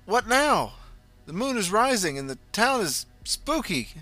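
A bewildered, frantic landlord ghost, as a man plays him raises his voice high and loud, pushing out anxious questions. The tone is bright and tense, with faint music beneath the storybook reading. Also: big pitch swings, a little slow, no room sound, full character voice. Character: greedy middle-aged male landlord ghost, bewildered and frantic.

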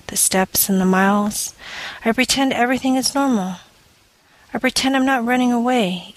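Measured woman reading scripted audio fiction. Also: medium pitch, low-quality recording. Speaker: story reader.

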